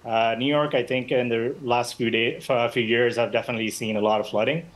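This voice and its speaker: A man's voice: medium pitch, steady tone, a little fast. Man, from Bangladesh, interview guest, conversational, fillers, remote link. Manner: casual, conversational, frequent fillers and restarts. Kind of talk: live news interview.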